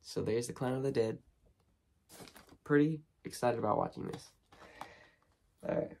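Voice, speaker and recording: A teenage boy talks loosely and unscripted, full of ums and false starts. His voice is hoarse from a cold and has a gravelly, creaky edge. A faint noise sits under the recording, made in a small bedroom close to the camera. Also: mid-range voice, measured pace, darkish tone. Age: teens. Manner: rambling, lots of ums and restarts. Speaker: horror movie vlogger. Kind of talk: casual unboxing chat. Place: small bedroom studio with shelves.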